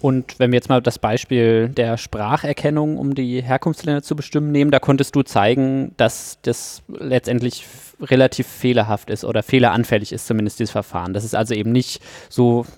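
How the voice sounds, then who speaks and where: A man hosting an interview, asking measured questions with small hesitations. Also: mid-range voice, fairly even intonation, a little mellow, no room sound, studio quality. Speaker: interview host.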